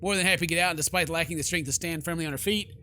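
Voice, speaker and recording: A man's voice: fairly high pitch, rapid-fire, sharp tone, soft background music. A man doing let's play narration of a horror visual novel, with playful slang and joking asides.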